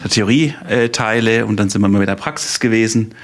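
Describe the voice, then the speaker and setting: A man's voice: on the low side, fairly quick. A man taking part in a course, giving enthusiastic spontaneous feedback with many hesitation fillers. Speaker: course participant.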